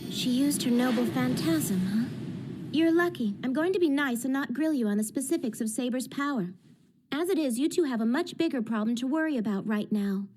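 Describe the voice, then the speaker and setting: A woman playing a sharp, pragmatic teenage girl in an English anime dub, explaining in a crisp, self-assured, matter-of-fact way. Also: high voice, expressive, brisk. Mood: cool, probing. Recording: loud music bed under dialogue.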